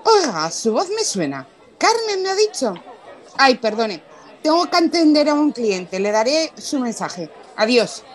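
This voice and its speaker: A woman's voice: high voice, sing-song, unhurried, some chatter behind. A woman playing a chatty, hospitable Andalusian wife in a radio drama. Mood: brisk, polite.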